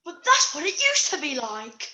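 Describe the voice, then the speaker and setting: A woman's voice: high-pitched, wildly swooping pitch, moderate pace, bright, forceful tone, a little hiss or hum, full character voice. A woman playing an impatient, snobbish cuddly toy in a scripted puppet comedy, speaking forthrightly.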